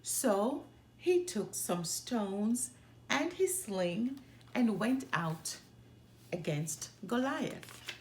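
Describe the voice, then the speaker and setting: A woman reading a children's picture book aloud as a storytime host, with deliberate, expressive delivery. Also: fairly high pitch, roomy sound, slight background noise.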